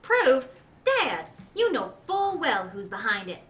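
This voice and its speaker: A woman plays a spirited ranch daughter in a radio western. Her high voice swings widely as she speaks with eager, dramatic frankness. It is recorded in an echoing room. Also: wildly swooping pitch, takes it slowly, full character voice. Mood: frank. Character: spirited young ranch daughter of the Old West. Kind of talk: old-time radio western acting. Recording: roomy, dull, noisy recording.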